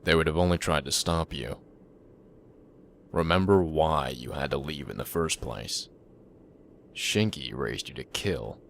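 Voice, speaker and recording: A man's voice: a bass voice, measured pace, creaky, pauses often, fairly bright tone, a little hiss or hum, heavily acted. A man playing a middle-aged, controlling father who sounds kindly, in an anime fan drama. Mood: calm, manipulative.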